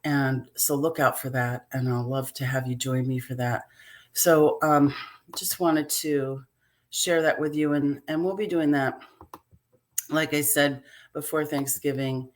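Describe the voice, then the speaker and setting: Woman host with a deep voice, chatty and loose with fillers, on a live-stream video call. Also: steady tone, unhurried. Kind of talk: casual live-stream talk. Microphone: home video call.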